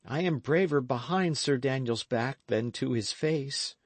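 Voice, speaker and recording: A man's voice: medium pitch, unhurried, no echo, voice pushed up, lightly acted. A man voicing a timid young lad in an audiobook, speaking hesitantly in archaic words.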